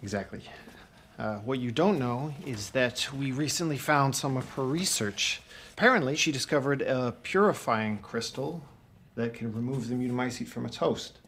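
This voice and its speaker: A man's voice: mid-range voice, normal speed, a bit bright, lightly acted. Man acting an earnest, hesitant mentor, noisy ambience. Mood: earnest, explaining. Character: concerned, awkward adult male mentor with a secret plan. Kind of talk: cinematic video game acting.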